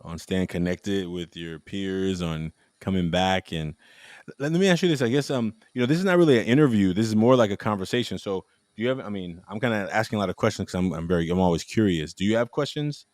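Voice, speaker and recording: A man hosting a casual conversation, telling stories in a rambling, animated way with frequent restarts. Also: deep voice, quick pace, no room sound, clean studio sound. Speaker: podcast host.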